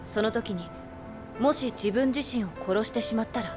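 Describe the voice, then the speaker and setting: A woman's voice: on the high side, normal speed, close to an everyday voice. A woman voicing an earnest young female attendant in an anime game, speaking in a formal, courteous, explanatory way. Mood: solemn, explaining. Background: game music.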